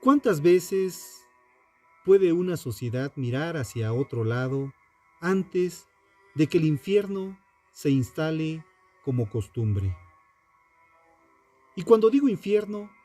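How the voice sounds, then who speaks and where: A man narrating scripted true crime in a solemn, deliberate, dramatic way, pausing between short phrases. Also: on the high side, expressive, darkish tone, soft background music.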